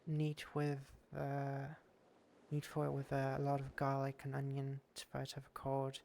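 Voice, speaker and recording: A man with a cold chats through a live stream, his voice stuffy, gravelly and fairly flat in tone. He muses aloud while exploring and thanks viewers as he goes. Faint room noise sits behind him. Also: medium pitch, a little slow. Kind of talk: casual streaming chat while exploring a game world.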